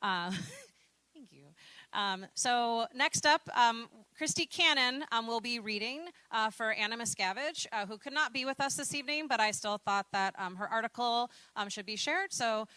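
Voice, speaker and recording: Emcee woman with a bright, sharp tone and a dry sound, says um a lot, encouraging. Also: on the high side, expressive, normal speed, smooth, no echo. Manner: many "um" fillers, encouraging. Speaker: program staff emcee. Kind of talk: introducing speakers at a graduation ceremony.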